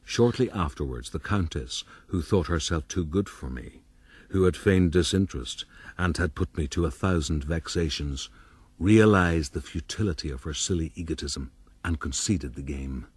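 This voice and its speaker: An actor playing a vain, self-satisfied gentleman adventurer, pompously narrating his own rise in a radio drama. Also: very deep voice, normal speed, breathy edge, no room sound. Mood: smug. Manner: pompous, formal. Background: music.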